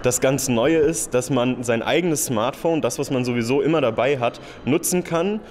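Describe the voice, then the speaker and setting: A man's voice: medium pitch, moderate pace, a little mellow. A young man in his twenties explaining his design work at length, with fillers, into a handheld mic in an exhibition hall. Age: 20s. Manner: explains in long, detailed sentences with fillers. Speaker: design graduate. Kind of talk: interview at an exhibition. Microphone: handheld interviewer mic.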